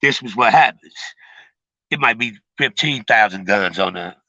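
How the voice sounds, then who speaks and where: A middle-aged man with a raspy voice and a cold, talking casually to his live viewers in street slang, rambling and repeating himself. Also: on the low side, lively intonation, normal speed, sharp tone, dry recording, lo-fi sound. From Chicago. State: has a cold. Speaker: streamer giving advice. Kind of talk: casual livestream talk.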